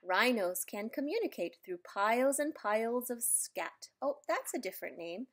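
A woman reading a children's picture book aloud, adding playful, expressive asides for young listeners. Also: fairly high pitch, moderate pace. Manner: playful, expressive, simple child-directed delivery with exclamations. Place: indoors in a room at home.

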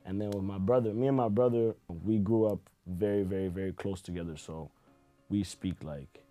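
Deep-voiced laid-back young man. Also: fairly even intonation, warm tone, faint music behind. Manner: slow, laid-back slang. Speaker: guest. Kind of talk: casual interview chat. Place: small room with curtains.

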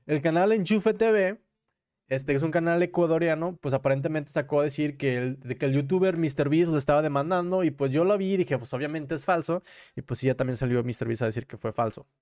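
A young man with a Mexican accent and a fairly high-pitched voice. He talks like a livestream commentator, informal and full of slang. His sentences ramble and double back as he corrects himself, spoken close into a desk microphone in a small room at home. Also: fairly quick, a little mellow. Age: young adult. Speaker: commentary streamer and host. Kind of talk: casual livestream commentary on news and gossip. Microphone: close desk microphone on a boom arm.

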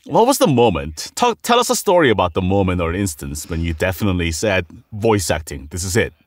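Lively, lowish-voiced male podcast host. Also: a little fast, dry recording, clean studio sound. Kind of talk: conversational interviewing.

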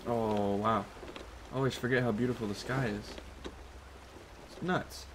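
Give me a man chattering excitedly in a put-on British accent as he comments on his gameplay, faint noise behind him. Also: medium pitch, a little slow. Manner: excitable, chatty, swears while reacting. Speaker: gaming channel host.

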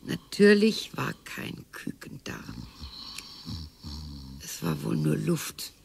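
An actress playing the sharp-tongued, sarcastic wife of a bored retiree in a radio play, with a husky, gravelly voice. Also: female voice, low-pitched, slow pace, full character voice. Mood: reminiscing. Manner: sarcastic and dismissive.

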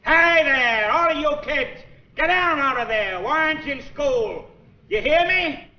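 A man's voice: very high-pitched, animated, rapid-fire. An actor playing an irate man barking scoldings in a 1940s film. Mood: angry, alarmed. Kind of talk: 1940s film acting, shouting. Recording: echoing, dull old film soundtrack.

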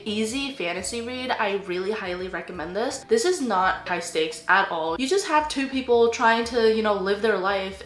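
A woman's voice: mid-range voice, fairly bright tone. A young woman talks through her recent reads in an easy, chatty way, and her blocked nose makes her sound nasal. She leans on words like "really, really" for emphasis. The room around her has a noticeable echo. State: stuffy nose, nasal from allergies. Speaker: book vlogger. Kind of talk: casual book review chat. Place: living room with bookshelves, indoors.

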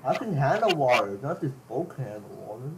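Youngish guy gaming on voice chat, swingy pitch, keeps repeating, clear background noise. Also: big pitch swings, a little fast. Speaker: gamer. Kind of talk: casual chat during online game. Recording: noisy game voice chat.

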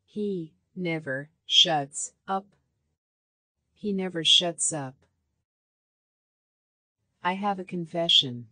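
A woman reads short practice phrases for a language course, her voice sitting a little low. She speaks very slowly, enunciating every word with care. The recording is dry and close, with no room sound. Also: pushed and bright, no room sound. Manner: clear, careful enunciation, each phrase repeated.

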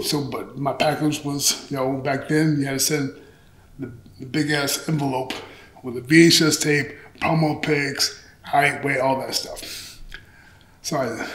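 A middle-aged man telling stories casually in an interview, candid and profane, acting out bits of dialogue. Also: on the high side. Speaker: interview guest, pro wrestler. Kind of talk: animated casual storytelling. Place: small indoor interview set on a couch. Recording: roomy echo.

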